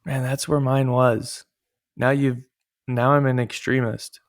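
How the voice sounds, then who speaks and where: A man with a booming voice talks loosely on a home podcast mic, rambling from one thought to the next and often breaking into laughter. His pitch sits in an ordinary middle range. The delivery is relaxed casual chat among friends. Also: unhurried, no echo, studio quality. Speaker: podcast co-host.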